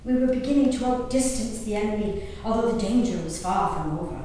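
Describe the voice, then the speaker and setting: Actress as resolute gentlewoman diarist, very echoey hall. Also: on the high side, lively intonation, close to an everyday voice. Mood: grave, tense. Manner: theatrical, measured phrases with dramatic pauses and swells. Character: Elizabeth Simcoe, resolute haunted English gentlewoman recalling peril at sea. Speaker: stage performer. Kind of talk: dramatic monologue reading of a historical diary. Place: live reading in a reverberant hall. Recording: distant echoey hall, noisy room recording.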